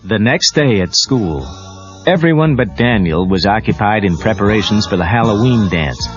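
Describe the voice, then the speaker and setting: A man's voice: lowish voice, expressive, moderate pace. A man reading scripted storybook narration as the narrator of a read-along tale. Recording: dull cassette tape with music.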